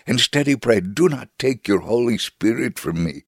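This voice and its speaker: A man giving a dramatic reading as a devout Holocaust survivor telling his story, measured, solemn and earnest. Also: medium pitch, lively intonation, husky, no echo, clean studio sound. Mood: solemn, assured. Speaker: dramatic reader. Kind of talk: dramatic first-person memoir reading.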